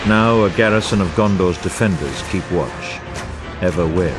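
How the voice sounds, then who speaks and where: Man voicing a deep, solemn epic fantasy narrator. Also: normal speed, close to an everyday voice. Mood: grave, stirring. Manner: grave, dramatic, archaic formal delivery. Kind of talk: epic fantasy video game cutscene narration. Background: music.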